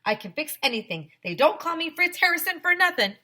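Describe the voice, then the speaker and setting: Woman acting storybook handyman, male, boastful, long-winded, raised pitch, swooping delivery. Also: sing-song, fairly quick, pushed and bright, slight background noise, exaggerated voice. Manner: boastful, droning technical explanations.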